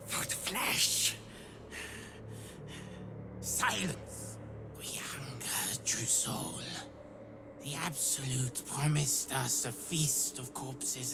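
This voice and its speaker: A woman voicing a starving, greedy, flesh-hungry monster in a fantasy game, croaking and drawing out words with menace. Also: very deep voice, noisy background, exaggerated voice. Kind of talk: fantasy game creature dialogue.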